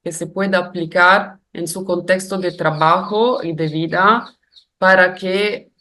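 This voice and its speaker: A woman's voice: on the low side, steady tone, unhurried, a bit bright. A woman with an Italian accent giving a careful, reflective webinar lecture in a non-native language, with frequent hesitations. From Italy. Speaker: guest lecturer.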